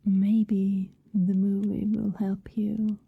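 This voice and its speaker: A woman playing a coy, affectionate vampire neighbor in an intimate ASMR roleplay, speaking gently and hesitantly, often dropping to a whisper. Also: mid-range voice, level tone, very slow, gravelly, breathy edge, phone-quality sound, heavily acted. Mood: hushed, coaxing.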